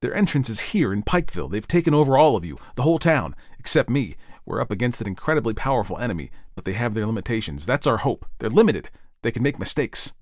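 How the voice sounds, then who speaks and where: A man voicing a desperate, urgent father in an audiobook story, barking out forceful commands. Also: medium pitch, very animated, fast talker, no echo, studio quality, voice pushed up. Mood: urgent, grim. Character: desperate, urgent father fleeing an alien invasion. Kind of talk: dramatized audiobook reading.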